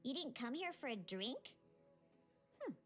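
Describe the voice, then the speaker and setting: A small girl's voice for a video game, cute and pert. It is very high and highly animated, with exaggerated delivery. Clear music plays underneath. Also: a little slow, heavily acted. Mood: pert, grudging. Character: small, childlike girl characters, cute and pert.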